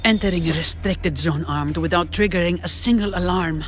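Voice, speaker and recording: An actress playing an efficient, alert young security officer in a video game, reporting in a clipped, businesslike way. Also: female voice, fairly low pitch, moderate pace, faint music behind, close to an everyday voice.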